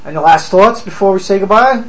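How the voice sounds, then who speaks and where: A man hosting a casual podcast recap, his voice hoarse from a lot of talking. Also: high voice, brisk, a bit bright, poor audio. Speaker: podcast host. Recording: faint ambient background noise.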